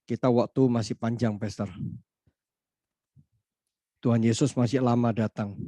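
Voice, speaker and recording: A man hosting a church video call in a chatty, joking way, laughing easily. His voice is mid-pitched and dry, with no room around it. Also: fairly even intonation, slow pace, warm tone, no room sound. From Ambon. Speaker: host pastor. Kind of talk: casual online church hosting.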